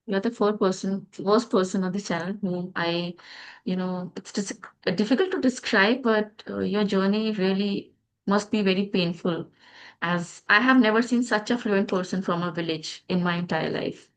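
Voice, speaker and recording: A woman with an Indian accent hosting an English-learning channel, interviewing in a measured, encouraging, teacherly way. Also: mid-range voice, low-quality recording.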